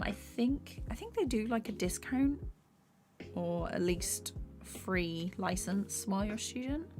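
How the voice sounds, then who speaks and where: Young female streamer, slightly hoarse, soft rambling chat over faint music. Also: mid-range voice. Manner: rambling, filler-heavy, soft-spoken. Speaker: animator streaming while drawing. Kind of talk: casual live-stream chat while working. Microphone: desktop USB microphone. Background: faint background music.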